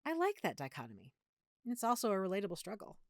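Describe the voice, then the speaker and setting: Woman acting an expressive, affectionate girlfriend, close and dry. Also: medium pitch, fast talker, no room sound. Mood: earnest, geeky. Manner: chatty, playful, geeky explaining. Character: affectionate, opinionated girlfriend, a secret vampire. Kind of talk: intimate audio roleplay, conversational acting.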